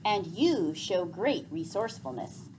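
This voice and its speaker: A woman's voice: on the low side, big pitch swings, a little slow, darkish tone. A woman voicing a steadfast woman warrior from a storybook, firm and formal. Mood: resolute. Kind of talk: storybook character reading. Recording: faintly noisy classroom recording.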